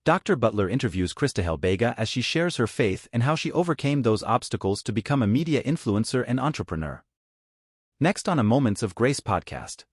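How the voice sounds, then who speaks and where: A man's voice: mid-range voice, big pitch swings, brisk, slightly rough, dry recording, studio quality. A man reading a dramatic promo for an upcoming podcast episode. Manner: dramatic, teasing. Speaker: announcer.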